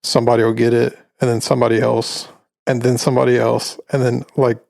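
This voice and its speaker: A man in his late twenties co-hosting a casual podcast, telling stories in a relaxed, rambling way. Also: mid-range voice, quick pace, creaky, dry recording. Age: 20s. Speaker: podcast co-host. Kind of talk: casual chat.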